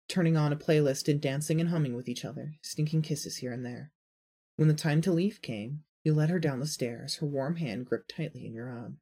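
Husky guy narrating a fanfic story, medium pitched voice. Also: darkish tone. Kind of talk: scripted fan fiction narration, storytelling.

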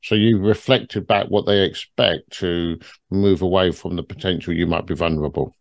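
A man's voice: deep voice, fairly even intonation, moderate pace, creaky, no room sound. A man moderating a group discussion, asking probing questions and summing up what the others say.

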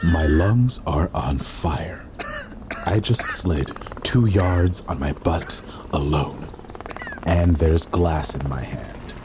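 Brooding audio drama hero w powers, really low voice, slow, murmurs to himself, guilty and wry. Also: male voice, noisy background. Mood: pained, wry. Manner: brooding, breathy murmured asides, self-questioning. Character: guilt-driven young male time-manipulator, wry and self-questioning hero. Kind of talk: immersive audio drama first-person narration.

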